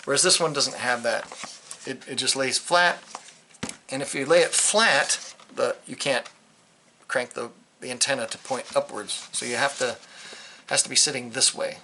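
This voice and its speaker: Male hobbyist reviewer, bright sharp tone, medium pitch, unscripted and hesitant, rambling delivery. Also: normal speed, pauses often, a little hiss or hum. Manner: rambling, hesitant, trails off mid-thought. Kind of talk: casual unscripted gadget review.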